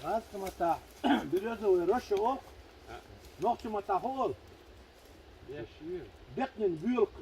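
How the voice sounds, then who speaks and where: A man from Chechnya chatting casually with companions while hiking, tossing out loud offhand remarks and short questions outdoors in a mountain gorge. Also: high voice, measured pace, long pauses, slight background noise, low-quality recording. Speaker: hiker filming a trip. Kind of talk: casual chat among companions on a hike.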